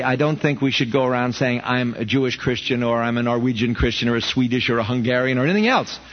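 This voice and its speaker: A man's voice: medium pitch, breathy edge. A man from Brooklyn, earnestly answering listener questions in a conversational Bible study talk. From Brooklyn, New York. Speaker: ministry leader answering questions. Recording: dull, reverberant radio broadcast.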